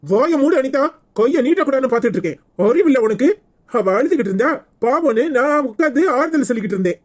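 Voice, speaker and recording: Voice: medium pitch, expressive, a little fast, warm tone, lightly acted. An actor playing a male village brother-in-law in a melodrama, protective and earnest but short-tempered. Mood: angry. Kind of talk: melodramatic village serial acting.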